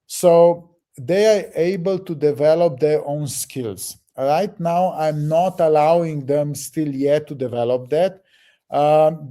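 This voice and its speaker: A man leading a project, explaining it to a group haltingly, with restarts and fillers. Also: a little high, mellow. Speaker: project leader answering questions.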